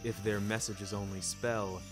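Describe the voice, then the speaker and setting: A man's voice: fairly low pitch, little pitch movement, a little slow, vocal fry, over music. A man narrating a fiction podcast in the first person, with florid, precise, theatrical delivery.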